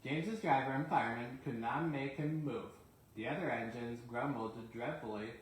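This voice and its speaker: A man's voice: mid-range voice, moderate pace. A man reading a children's bedtime story aloud as the storyteller. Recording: very echoey room, faint noise.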